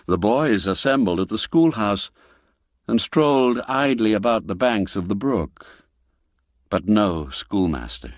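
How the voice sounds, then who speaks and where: A man narrating a classic radio story in a measured, dramatic way, dropping low and quiet for the grim moments. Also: dry recording, studio quality. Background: faint music score.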